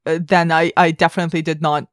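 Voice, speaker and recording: A woman's voice: sits low, flat delivery, fast talker, no room sound, clean studio sound. A woman hosting a film podcast, talking casually in a considered, reflective way.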